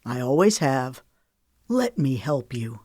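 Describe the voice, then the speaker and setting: A woman's voice: rumbling low, fairly even intonation, normal speed, a little mellow, no echo, clean studio sound. A woman voicing a manipulative man who speaks in a falsely soothing, condescending tone.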